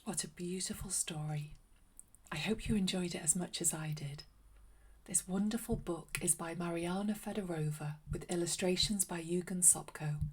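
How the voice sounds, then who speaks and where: A woman narrating a read-aloud story for children in a gentle, unhurried, expressive way. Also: on the low side, breathy edge. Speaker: children's story reader and host.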